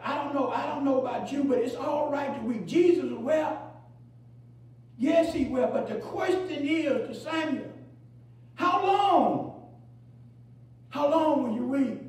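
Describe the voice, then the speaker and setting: A male preacher delivering a sermon, dotting his lines with praise and hallelujah, repeating phrases and swinging between shouts and low murmurs as he calls on the congregation to answer. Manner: fills pauses with "praise God" and "hallelujah". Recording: very echoey, from far off.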